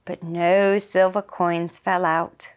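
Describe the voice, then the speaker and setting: A woman narrating a children's folktale for a puppet show in a simple, deliberate storytelling manner. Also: on the low side, flat delivery, clean tone.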